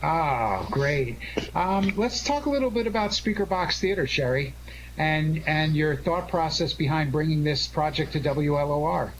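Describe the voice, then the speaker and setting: Guy radio host, slightly high voice, gushing chatty style, bit of noise on the line. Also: animated, normal speed. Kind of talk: live interview with cast after a show. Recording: faintly noisy live internet call.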